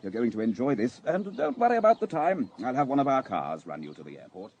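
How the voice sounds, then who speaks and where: A man acting a suave, obliging gentleman host in a radio drama, smooth and courteous, on a dull, slightly noisy recording. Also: medium pitch, big pitch swings, fast. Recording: dull sound with background noise.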